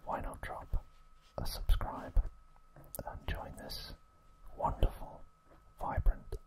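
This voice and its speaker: A man speaks entirely in a soft whisper as an ASMR host. He takes his time, delivering short, gentle phrases very slowly and deliberately, with a breathy texture throughout. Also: poor audio. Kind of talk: whispered ASMR narration and trivia.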